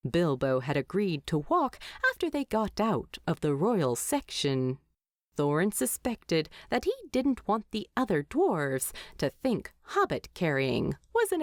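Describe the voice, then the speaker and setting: A woman's voice: mid-range voice, a little mellow, dry recording, studio quality. A woman reading scripted fiction aloud as an audiobook narrator.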